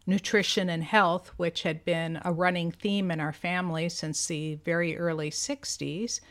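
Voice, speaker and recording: A woman in her seventies co-hosts a podcast, telling stories about her life with real animation. Her voice sits a little low, and she laughs often, slipping into other people's voices as she quotes them. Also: little pitch movement, measured pace. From United States. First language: Greek. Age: 70s. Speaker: podcast co-host. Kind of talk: casual conversation.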